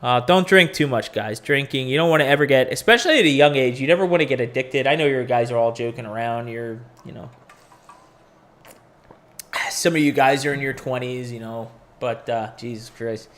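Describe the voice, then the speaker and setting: A man's voice: medium pitch, normal speed, fairly bright tone. A man in his forties hosting a crypto live stream, talking casually and candidly, rambling with profane asides. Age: 40s. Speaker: crypto podcast host.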